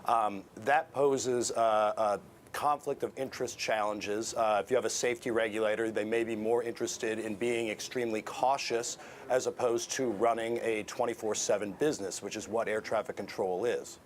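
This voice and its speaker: A man with an American accent giving explanations as an expert guest in a news interview, in a hesitant, halting way. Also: lowish voice, fairly even intonation, slight background noise.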